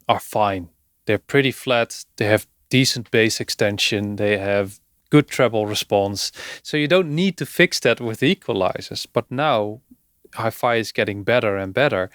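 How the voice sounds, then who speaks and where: A man hosting a podcast, explaining things conversationally in measured phrases with pauses. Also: mid-range voice, fairly bright tone, dry recording, studio quality. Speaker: podcast host.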